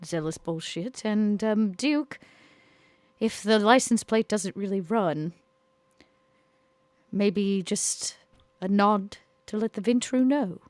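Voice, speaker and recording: A player speaking slowly and deliberately, in character during a tabletop game. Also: medium pitch, darkish tone, dry recording. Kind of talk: in-character play.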